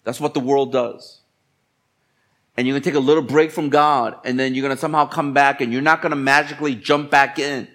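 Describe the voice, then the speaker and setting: A man's voice: mid-range voice, fairly even intonation, fairly quick, fairly bright tone. A man preaching as a pastor in colloquial, exhorting language, repeating phrases for emphasis. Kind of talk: sermon.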